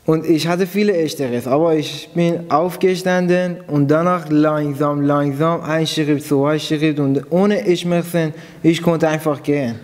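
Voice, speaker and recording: A man from Iran giving a personal testimony in halting, phrasing, pausing after each short part. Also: fairly high pitch, fairly even intonation, a little mellow. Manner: simple non-native German, halting phrasing. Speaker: church member giving testimony. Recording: long hall reverb.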